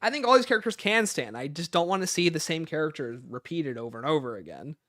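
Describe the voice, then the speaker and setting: A man's voice: on the high side, sing-song, fast, fairly bright tone, no room sound, studio quality. A man hosting a livestream, casually reading out questions and answering them with emphatic opinions.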